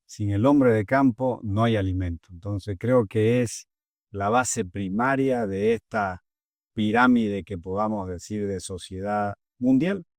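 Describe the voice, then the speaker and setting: A middle-aged man with a Bolivian Spanish accent, a guest in an interview, who answers in a measured, earnest way with pauses and fillers. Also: fairly low pitch, lively intonation, gravelly, darkish tone, no echo, studio quality. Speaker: agricultural leader, guest.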